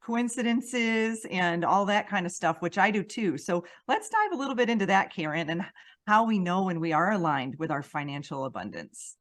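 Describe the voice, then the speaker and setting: A woman's voice: fairly low pitch, lively intonation, moderate pace. Eager woman hosting a podcast interview. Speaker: podcast host. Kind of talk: casual interview chat.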